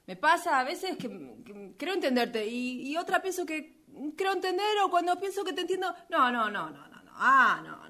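A woman's voice: high-pitched, fairly bright tone. A woman acting in radio theatre as an exasperated, reluctant young woman with a Rioplatense Argentine accent, hesitant and repeating herself dramatically. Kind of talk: radio theatre workshop fiction.

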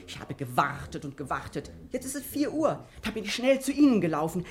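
A woman's voice: mid-range voice, very animated, a little fast, lightly acted. A woman playing a worried, insistent housekeeper in a radio play, earnest and emphatic in her agitation. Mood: worried, agitated.

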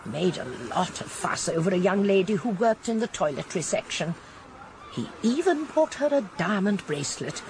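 A genteel old English lady in a radio play, shrewd and gently gossipy. She talks with lively intonation and precise diction, over faint outdoor ambience. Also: mid-range voice, moderate pace, slight background noise. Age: older. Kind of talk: British radio drama acting.

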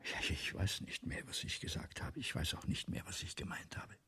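An actor playing a vain, obsessive writer in a radio play, declaiming haltingly and repeating himself, often sinking into a breathy whisper. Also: male voice, music in the background, close to an everyday voice. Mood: faltering, hushed. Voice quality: husky, gravelly, often breaking into breathy whisper. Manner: declamatory, halting, self-correcting, repeating phrases. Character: obsessive, vain male writer Balzac, rambling and forgetful. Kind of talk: theatrical German radio play monologue.

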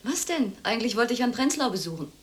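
A woman's voice: on the high side, big pitch swings, quick pace. Reserved, subdued woman. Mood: reserved, unsettled. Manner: terse, subdued. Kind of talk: radio drama dialogue.

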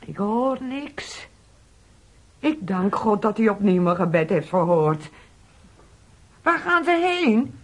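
An actress playing a pious, nagging old wife in a radio drama, exclaiming dramatically. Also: female voice, medium pitch, animated, slow, pauses often. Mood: fervent. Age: older. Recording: faintly noisy old radio play.

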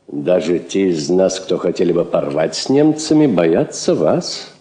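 An actor playing an elderly foreign minister in a 1960s radio drama, a wry, world-weary and cautious diplomat. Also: male voice, deep voice, unhurried. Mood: guarded. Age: older. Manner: wry, aphoristic, expressive. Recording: roomy vintage drama recording.

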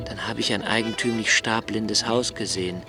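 An actor playing an anxious, solitary young writer in a literary radio play monologue, halting and pensive, sometimes sinking to near-whispers. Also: male voice, lowish voice, fairly even intonation, loud music behind the voice, close to an everyday voice. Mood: brooding, observant.

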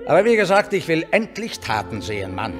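A man's voice: fairly high pitch, sing-song, brisk, music in the background. An actor in a German radio drama playing a bossy, jovially cynical Prussian statesman who talks in brusque, clipped commands and asides. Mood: bossy, impatient. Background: opera performance.

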